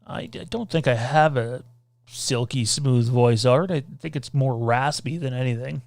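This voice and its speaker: A man's voice: medium pitch, measured pace, vocal fry, a little mellow, no echo. Man hosting a casual livestream. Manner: rambling, reads out viewer comments, many filler sounds. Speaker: podcast host.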